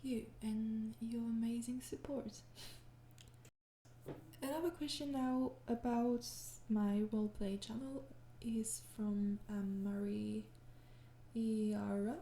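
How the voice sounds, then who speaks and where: A woman's voice: a little high, steady tone, takes it slowly, roomy sound, slight background noise. A young woman with a French accent answering fan questions in a hesitant, apologetic way, tired and slipping into whispers. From France. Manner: hesitant, apologetic, frequent soft laughs, slips into whispers. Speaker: ASMR audio creator answering fan questions. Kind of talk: soft-spoken casual Q&A.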